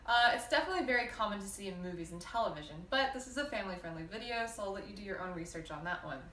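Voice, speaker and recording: A woman's voice: mid-range voice, lively intonation, racing, fairly bright tone, slight background noise. Brief: young woman from Alabama, student presenter, roomy sound, mic set back. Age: young adult. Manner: explanatory, with restarts and light laughs. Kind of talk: class presentation to camera. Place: indoor room against a plain wall. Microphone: camera mic at a distance. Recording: roomy, distant camera audio.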